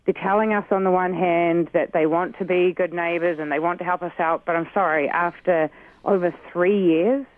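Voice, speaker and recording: Brief: earnest New Zealand-accented man, higher voice, phone-line sound, frustrated advocate. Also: fairly even intonation, moderate pace. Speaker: community group spokesperson on a radio call.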